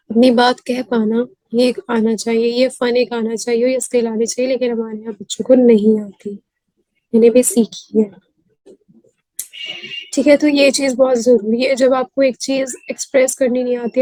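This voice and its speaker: A Pakistani woman hosting a mental health series, hoarse from a sore throat, explaining earnestly as she switches between Urdu and English. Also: fairly high pitch, mellow, dry recording, poor audio. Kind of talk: self-help talk to camera.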